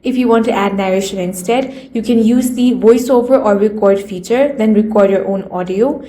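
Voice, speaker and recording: A woman's voice: medium pitch, normal speed, mellow. A woman giving scripted how-to narration in a plain, step-by-step instructional way. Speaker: tutorial narrator.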